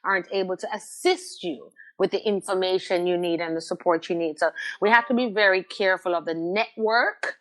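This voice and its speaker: A woman's voice: on the low side, brisk, few pauses, a bit bright. A middle-aged woman, speaking as an interview guest in an emphatic, conversational way, repeating phrases for stress. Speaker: podcast guest, radio host.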